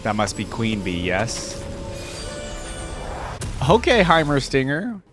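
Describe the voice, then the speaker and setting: A man's voice: mid-range voice, wildly swooping pitch, takes it slowly. A young man giving casual running commentary as a reaction host, thinking aloud and breaking off into exclamations. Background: music and game audio.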